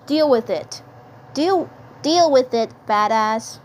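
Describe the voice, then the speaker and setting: A woman's voice: high-pitched, expressive, slow pace. A woman playing a blunt, protective cartoon schoolboy with slangy, emphatic delivery in a fan dub. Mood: annoyed, defensive.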